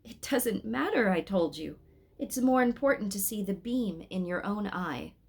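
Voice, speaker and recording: A woman voicing a patient male angel guide in a storybook, explaining things in a measured, instructive way. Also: mid-range voice, animated, gravelly, slightly rough, heavily acted.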